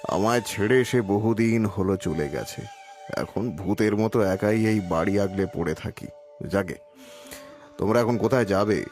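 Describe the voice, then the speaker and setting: A man playing a lonely, kindly but secretive elderly villager in an audio drama, speaking haltingly and repeating his words. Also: on the low side, darkish tone, faint music behind. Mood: wistful, coaxing. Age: older. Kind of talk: Bengali audio story acting.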